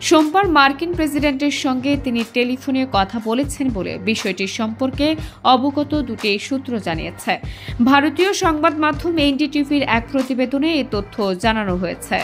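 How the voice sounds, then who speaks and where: A woman with a Bangladeshi accent reads scripted news in a formal, measured manner. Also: high voice, animated. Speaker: news presenter. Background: music under the voice.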